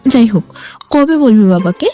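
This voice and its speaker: Woman acting a high-voiced, cheeky lovestruck young woman. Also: lively intonation, moderate pace, warm tone, faint music behind, lightly acted. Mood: teasing. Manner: teasing, cheeky, persistent. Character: mischievous, bold, lovestruck young female university student. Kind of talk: Bengali audio story acting.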